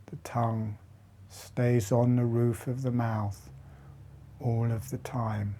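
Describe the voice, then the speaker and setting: Male meditation guide, slow and steady, low-key even delivery, mellow tone. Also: a little hiss or hum. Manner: slow, soft, halting phrases with long pauses. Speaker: meditation teacher.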